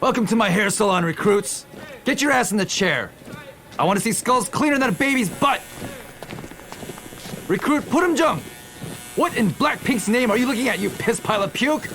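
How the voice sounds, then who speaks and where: A high-pitched, barking army drill sergeant. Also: male voice, expressive, moderate pace, long pauses, a bit bright. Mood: barking, mocking. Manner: barking, commanding, mocking. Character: foul-mouthed, bullying male army drill sergeant. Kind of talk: audio drama acting.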